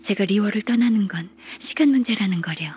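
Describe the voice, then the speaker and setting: An actress playing a gentle, formal, melancholy half-immortal young secretary in a game, speaking softly with polite, measured resignation. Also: female voice, medium pitch, lively intonation. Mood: resigned, wistful. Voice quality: very breathy, soft. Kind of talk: Korean game voice acting. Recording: music behind the voice.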